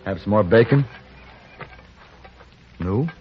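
An actor playing a shrewd, self-assured gunfighter for hire in a 1950s radio western, with an American accent and a deliberate, taunting delivery. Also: male voice, fairly low pitch, lively intonation, music in the background. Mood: calm, knowing. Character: shrewd, cool-headed gunfighter for hire in the Old West. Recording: noisy, dull 1950s radio recording.

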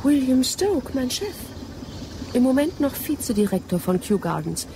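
An actress playing a determined, ambitious young female botanist in a radio play, earnest and expressive. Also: high voice, normal speed, noisy background. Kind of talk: German radio play acting.

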